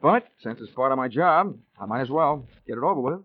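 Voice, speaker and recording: Guy playing a deadpan wisecracking investigator, old time radio, midrange voice, muffled sound. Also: mid-range voice, a little fast, lightly acted. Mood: dry, skeptical. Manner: wry, deadpan. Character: wisecracking male insurance investigator, world-weary. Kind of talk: 1940s radio acting, first-person narration. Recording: dull old radio recording, music behind.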